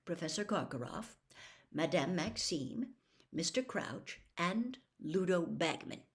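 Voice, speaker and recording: A woman narrating a children's fantasy novel in an expressive read-aloud style. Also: fairly low pitch. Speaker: audiobook narrator.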